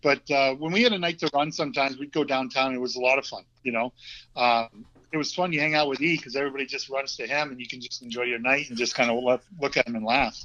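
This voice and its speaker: A man in his fifties with a Vermont accent talks easily as a podcast guest. He speaks at a middling pitch and often stops with ums and starts over. The sound is dull and a little lo-fi. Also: fast talker. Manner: relaxed, hesitant, many ums and restarts. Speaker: guest, former hockey player. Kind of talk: casual interview chat. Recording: dull lo-fi audio.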